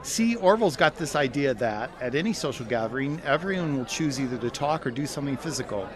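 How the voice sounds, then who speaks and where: Actor as an animated, cheerful young 1920s dancer. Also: male voice, medium pitch, fast talker, lightly acted. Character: easygoing, cheerful young 1920s man out dancing. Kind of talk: period radio drama acting. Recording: dance-hall music and crowd behind.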